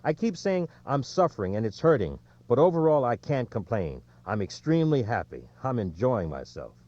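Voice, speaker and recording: Guy reading as a worn-out champion cyclist, rueful and plainspoken, mellow voice, bit muffled audiobook sound. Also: mid-range voice, sing-song, moderate pace, no echo, pitched above the performer's own voice. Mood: earnest. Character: weary, self-deprecating male champion cyclist, plainspoken. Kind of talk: quoted speech in audiobook narration. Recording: dull, lo-fi audio.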